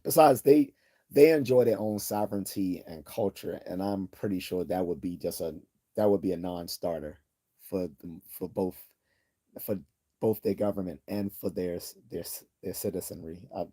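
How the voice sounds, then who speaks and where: A man hosts a casual livestream, reading viewer comments aloud and chatting between them. His voice sits low, with a very dark, husky tone. He rambles with many restarts and stops to clear his throat. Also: moderate pace. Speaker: livestream host.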